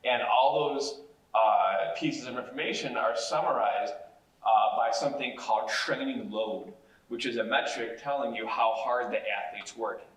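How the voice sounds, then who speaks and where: A man's voice: medium pitch, a bit bright. Man lecturing in an echoey room. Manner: measured, explanatory, encouraging. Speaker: university professor giving a public talk. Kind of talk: presentation to young people. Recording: echoey room, distant mic.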